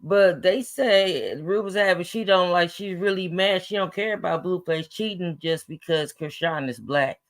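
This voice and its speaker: A raspy-voiced woman calls in to a talk show. She speaks bluntly and casually, with plenty of slang, and stands firmly by her opinions. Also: fairly low pitch, normal speed, few pauses. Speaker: caller. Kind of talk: casual phone-in chat.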